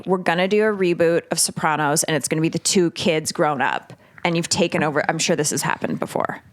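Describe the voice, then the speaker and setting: A woman hosting a podcast, asking questions in a casual, chatty interview style. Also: lowish voice. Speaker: podcast host.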